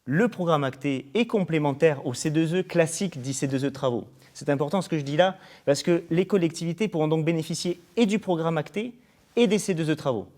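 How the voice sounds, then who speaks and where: A man's voice: fairly high pitch, a little fast, darkish tone. A man presenting a programme in an interview, formal and explanatory, often going through points as lists. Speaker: programme coordinator being interviewed.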